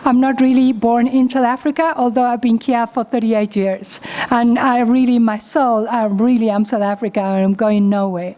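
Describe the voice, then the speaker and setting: A woman's voice: on the high side, steady tone, normal speed, hardly any pauses, warm tone. A woman in her fifties from Uruguay, a native Spanish speaker with a Uruguayan accent, giving a candid, story-driven keynote speech. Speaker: guest speaker.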